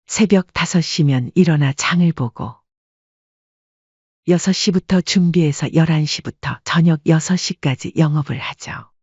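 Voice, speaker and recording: Husky older widow voiced by a woman, wistful. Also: sits low, a little mellow, dry recording, highs cut off, exaggerated voice. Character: sorrowful 68-year-old widowed restaurant-owner grandmother. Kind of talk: scripted first-person story narration.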